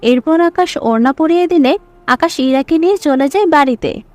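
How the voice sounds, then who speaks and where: Woman narrator telling a story, pretty high voice, dry recording. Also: normal speed, a little mellow, dry recording.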